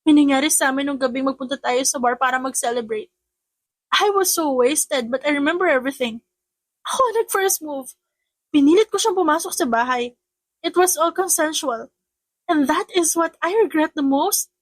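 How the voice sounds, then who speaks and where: A high, agitated young pregnant woman, as acted by a female narrator, full of regret and stubbornness. She speaks emphatically in audiobook-drama style, slipping between English and Tagalog, on a dry recording. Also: moderate pace, no echo, lightly acted. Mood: regretful, upset. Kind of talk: audiobook character acting.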